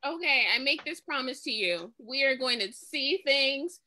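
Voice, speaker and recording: A woman's voice: sits high, expressive, measured pace, bright, forceful tone, exaggerated voice. A woman playing an earnest jungle animal rallying its friends in an improvised children's story. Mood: earnest, inspired. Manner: motivational, declaring.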